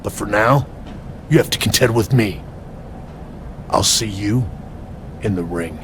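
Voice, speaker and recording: A man playing a stern, defiant veteran wrestler, measured and confrontational, in a video game cutscene. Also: on the low side, background noise, close to an everyday voice. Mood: stern warning.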